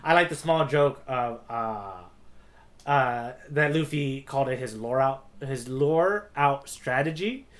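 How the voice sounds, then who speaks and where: A man co-hosting a podcast in casual, slangy chat, with an excitable manner. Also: mid-range voice, slow. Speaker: podcast co-host.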